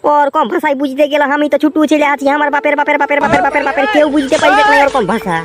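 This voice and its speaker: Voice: sits high, flat delivery, rapid-fire, natural voice. A performer voicing a cheeky, exasperated young village boy who leads the gang in a comic cartoon, with a Purulia Bengali accent. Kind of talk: comic regional cartoon dialogue. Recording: music under the voice. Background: music.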